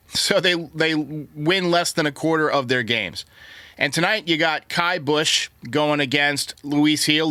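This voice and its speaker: Bright, forceful man hosting a sports show. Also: mid-range voice, dry recording. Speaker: sports podcast host. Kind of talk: baseball commentary to listeners.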